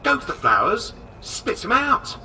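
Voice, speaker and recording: An actor playing an excitable, scatterbrained, chatty eccentric man in a video game, hesitant and then bursting with enthusiasm. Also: sits high, a little slow, distant mic, a little hiss or hum, full character voice. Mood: excited. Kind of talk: video game character acting.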